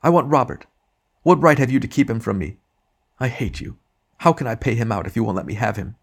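A man's voice: mid-range voice, expressive, a little fast, voice pushed up. A man voicing the ghost of a domineering wife, complaining and self-righteous in an audiobook reading. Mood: pleading, demanding.

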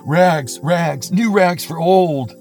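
A man voicing a strong young ragman who calls out in a clear tenor street cry and speaks gently. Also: lowish voice, animated, measured pace. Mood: calling out. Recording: music playing under the voice.

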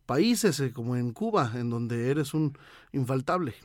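A man interviewing someone conversationally, phrasing his questions in a halting, roundabout way. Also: medium pitch, animated, no echo.

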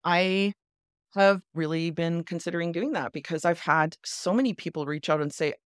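A woman hosting a podcast, chatting casually in a low, animated voice. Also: moderate pace, no room sound, clean studio sound. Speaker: podcast host.

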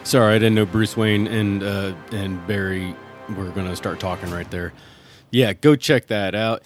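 Low-voiced jokey man hosting over music. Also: steady tone, moderate pace. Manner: jokey, chuckling, informal. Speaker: host. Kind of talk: casual solo podcast chat with pop-culture commentary. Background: music playing under the voice.

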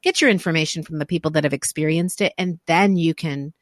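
A woman's voice: low-pitched, fairly quick, dry recording, studio quality. A woman hosting a podcast interview, talking in a reflective, affirming way, with frequent fillers and restarts. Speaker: podcast host. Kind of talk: conversational interview.

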